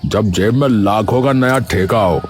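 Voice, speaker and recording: Greedy, scheming village villain, played by a man, slow smug delivery, animated story, clear background music. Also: on the low side, natural voice. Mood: gleeful, scheming. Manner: smug, mocking. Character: gloating, greedy corrupt village politician and contractor cronies. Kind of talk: animated moral story acting. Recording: music behind the voice.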